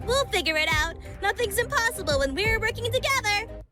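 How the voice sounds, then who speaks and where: Woman as plucky, very high-pitched hedgehog girl. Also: animated, brisk. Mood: hopeful. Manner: eager, determined, cheerful. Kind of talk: fan comic dub. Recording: music behind.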